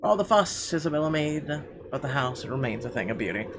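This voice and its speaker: A man reading a visual novel aloud as a video host, in expressive, deliberate storytelling narration with the odd stumble. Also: fairly high pitch. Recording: clear background noise behind voice.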